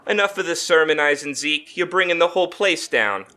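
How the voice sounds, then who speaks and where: An actor playing a cocky, chatty 1950s mobster in a noir radio drama, with a breezy, mocking, slick way of talking. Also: male voice, on the high side, lively intonation, a little fast, pushed and bright.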